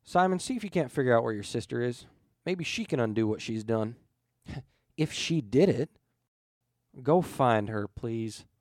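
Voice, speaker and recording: A man's voice: mid-range voice, lively intonation, moderate pace, pauses often, a little mellow, no echo, clean studio sound, lightly acted. A man voicing an irritated, commanding spaceship captain in an audiobook, curt, blunt and exasperated. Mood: curt ordering.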